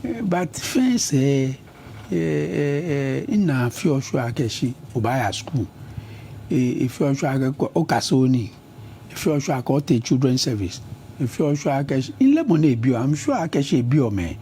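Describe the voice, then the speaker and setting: Middle-aged Ghanaian man, an interview guest with a mellow tone, recorded with a little background noise. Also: mid-range voice, moderate pace. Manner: switches between English and Ga, lists places at an even pace. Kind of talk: conversational interview.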